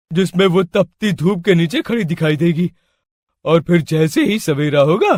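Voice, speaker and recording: A man voicing an excitable, scheming villager with an emphatic manner in a cartoon story. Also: high-pitched, dry recording, heavily acted.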